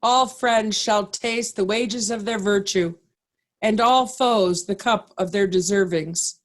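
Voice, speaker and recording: A woman's voice: medium pitch, takes it slowly, natural voice. A woman playing a grave, dignified noble duke in a Shakespearean play reading. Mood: grave, commanding.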